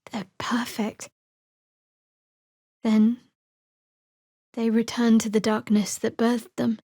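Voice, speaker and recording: An actress playing a guarded, devout young half-elf cleric woman in a video game, measured and dryly sardonic. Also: a little high, a little mellow, no room sound, studio quality. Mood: somber. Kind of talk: video game character voice acting.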